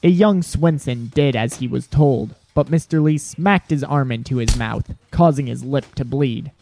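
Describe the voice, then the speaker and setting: A man's voice: medium pitch, a little slow, creaky, dark tone. A man narrating a scripted audio drama in the third person.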